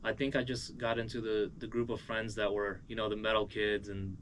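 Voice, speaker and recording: A man's voice: on the low side, level tone, a little fast, a bit bright, a little hiss or hum, poor audio. A man with an American accent giving a casual, rambling interview as a musician. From moved as a small child from Uzbekistan, raised in Cleveland, USA.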